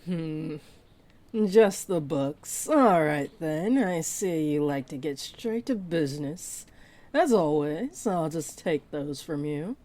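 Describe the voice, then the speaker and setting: A woman's voice: low voice, lively intonation, slow pace, dark tone. A woman voicing a flirty, possessive half-dragon librarian in a roleplay audio, speaking in a coy, playful drawl. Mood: coy teasing. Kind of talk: roleplay audio acting addressed to the listener.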